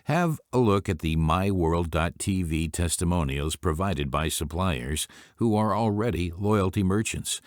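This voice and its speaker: A man presenting business training in scripted instructional narration, speaking in a formal, deliberate way. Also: low-pitched, no echo, clean studio sound.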